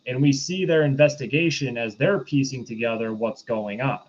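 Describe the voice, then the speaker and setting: A man co-hosting a casual movie discussion, making analytical points step by step in a halting way. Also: mid-range voice, lo-fi sound. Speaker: podcast co-host.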